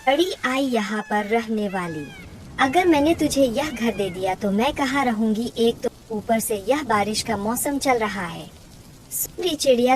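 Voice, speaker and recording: Brash, high-voiced scheming bird, played by a woman. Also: slight background noise. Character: brash, scheming, sharp-tongued female bird. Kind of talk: Hindi cartoon story acting.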